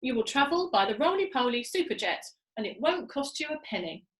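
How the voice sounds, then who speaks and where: A woman's voice: a little high, big pitch swings, a little fast, a bit bright. A woman voicing a confident, helpful talking bird in a children's story, explaining things reassuringly. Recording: echoey room.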